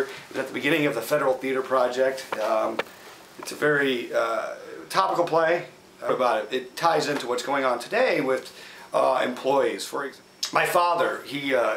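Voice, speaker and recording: A man's voice: medium pitch, a bit bright, distant mic. A man, a theatre director, informally explaining a play and its themes. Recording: echoey room, off-mic, faint noise.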